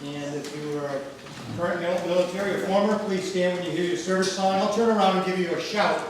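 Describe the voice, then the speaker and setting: A man with a high-pitched voice gives informal instructions to an audience. He sounds distant in a very echoey hall, with noise behind him. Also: fast talker. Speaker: event participant announcing the band's music. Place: large echoey indoor hall.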